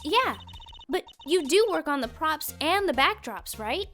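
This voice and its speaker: A woman's voice: high voice, very animated, unhurried, fairly bright tone, exaggerated voice. A woman voicing a perky, kindhearted teenage girl assistant in a video game fandub, bubbly and eager. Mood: bright. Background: background music.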